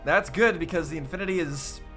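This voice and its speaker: A man hosting a reaction video, talking casually and thinking out loud in a rambling way. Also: fairly high pitch, brisk, faint music behind.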